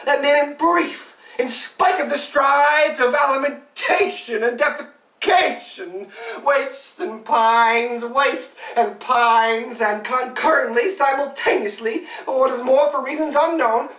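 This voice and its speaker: A woman's voice: sits high, slow pace, a bit bright. A woman performing a theatrical monologue as a frantic, babbling servant spouting broken scholarly nonsense in stammering, repetitive declamation. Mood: frantic, insistent. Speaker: actor. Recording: echoey room, distant microphone.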